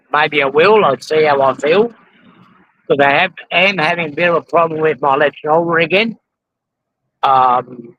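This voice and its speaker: An Australian man hosting a casual live stream outdoors, rambling with lots of ums while his voice goes hoarse. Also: on the high side, fairly bright tone, highs cut off. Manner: rambling, frequent ums and restarts. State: losing his voice from the heat. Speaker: model railroading channel host. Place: outdoors at a roadside truck convoy.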